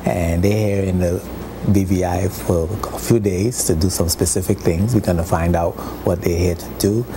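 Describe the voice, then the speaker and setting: A man hosting a TV interview show, relaxed and full of fillers. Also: sits low, normal speed. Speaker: TV show host. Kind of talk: studio interview. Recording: noisy TV recording.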